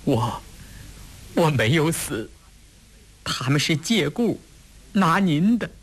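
A man's voice: fairly high pitch, sing-song, slow, breathy edge, voice pushed up, full character voice. A man voicing a timid young woman in a storybook reading, choked with sobs and pleading. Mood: tearful. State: choking back sobs.

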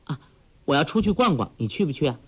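A man's voice: a little high, sing-song, fast, a bit bright, slightly rough, heavily acted. A man voicing a clever, bold young woman in a martial arts story, teasing and then imperious in turn. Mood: playful. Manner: coy and playful, then imperious.

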